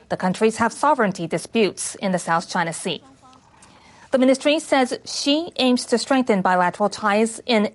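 A woman reading scripted international news for radio as a newsreader. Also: on the high side. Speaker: news reader.

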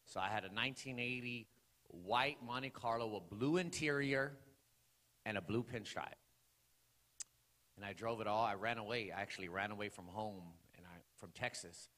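A man in his forties, from the Los Angeles area, preaching his testimony to a congregation in a church sanctuary, talking loosely with many fillers and rising into loud preaching bursts. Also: on the low side, moderate pace. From Los Angeles area, California. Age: 40s. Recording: long hall reverb.

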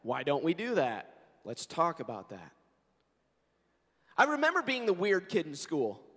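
Man, 40s, pastor, hoarse scratchy voice, earnest conversational preaching, high-ish voice, long church reverb. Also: sing-song, measured pace, a bit bright. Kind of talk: sermon with prayer and scripture reading. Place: church sanctuary.

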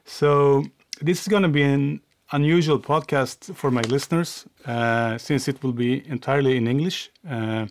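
A man's voice: medium pitch, normal speed, slight background noise. A man with a Swedish accent hosting a podcast interview in English, friendly and a little hesitant, with many fillers. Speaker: podcast host.

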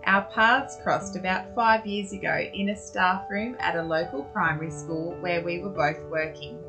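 An Australian woman hosting a podcast interview, asking gentle questions and encouraging her guest. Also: on the low side, steady tone, moderate pace, over music, poor audio. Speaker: podcast host.

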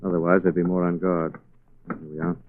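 A man playing an unflappable, dryly witty gentleman detective in 1940s radio drama style. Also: deep voice, little pitch movement, noisy background, close to an everyday voice. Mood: cool, guarded. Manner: dry, unhurried, understated. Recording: dry, narrow-band 1940s radio recording.

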